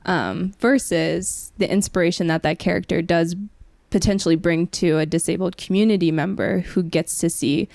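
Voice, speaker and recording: A woman's voice: fairly low pitch, fairly even intonation, normal speed, gravelly, a little mellow, dry recording, clean studio sound. A young woman speaking as a podcast guest in a casual book discussion, in a reflective, tentative way.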